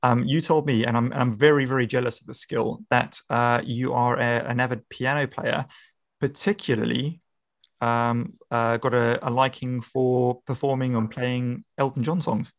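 A man's voice: mid-range voice, flat delivery, moderate pace. Brief: a male podcast host with a friendly manner who restarts words often. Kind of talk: casual interview.

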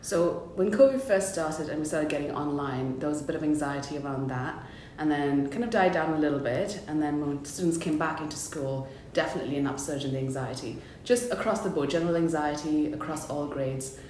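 A woman's voice: brisk, few pauses, darkish tone. A woman speaking conversationally in an interview as a school counsellor, recorded in a roomy space. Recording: roomy echo with faint low rumble.

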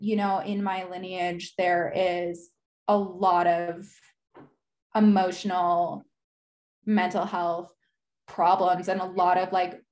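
A woman's voice: lowish voice, darkish tone. Reflective young woman, casual and candid. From United States. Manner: casual, frequent "like" fillers, reflective. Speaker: podcast guest. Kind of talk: candid conversational interview.

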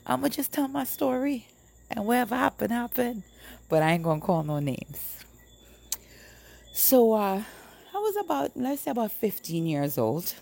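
A woman's voice: fairly high pitch, very animated, normal speed, vocal fry, darkish tone. A woman in her late thirties from Trinidad, chatting casually and familiarly to livestream viewers as she tells stories. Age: late 30s. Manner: chatty, familiar, addresses the audience often. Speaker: livestream host. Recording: music playing behind the voice. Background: background music.

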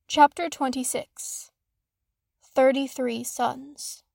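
High-voiced woman narrating a story. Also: fairly even intonation, takes it slowly, creaky, darkish tone, dry recording, clean studio sound. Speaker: audiobook narrator. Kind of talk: scripted fan fiction narration.

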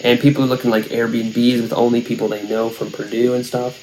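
A young man in casual conversation, wandering through his thoughts with plenty of fillers and false starts. His pitch sits in an ordinary middle range. A faint noise hangs under the recording. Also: level tone, a little fast, darkish tone, rough recording. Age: young adult. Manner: rambling, many fillers and restarts. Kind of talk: casual chat.

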